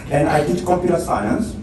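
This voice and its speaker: A man's voice: on the high side, darkish tone. A middle-aged man from Kenya with a Kenyan accent, explaining his teaching informally on a handheld microphone at a networking event. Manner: explanatory, asks rhetorical "right?". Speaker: computer science professor. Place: large indoor event space. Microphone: handheld microphone, heard from far off. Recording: very echoey hall from far off, background noise.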